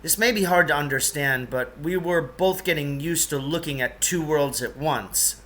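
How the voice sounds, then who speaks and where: A man's voice: on the high side, normal speed, pushed and bright. A man reading a children's novel aloud as narration.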